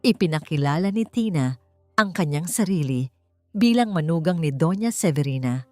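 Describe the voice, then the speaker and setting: A woman's voice: deep voice, lively intonation, normal speed, dark tone, no echo, studio quality. A woman narrator reading a scripted dramatic story in a storytelling style. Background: music.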